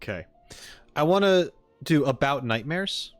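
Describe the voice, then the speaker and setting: A man reacting casually on a livestream, wry and halting, often repeating his phrases. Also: on the high side, expressive, faint music behind. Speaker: streamer reacting to game audio.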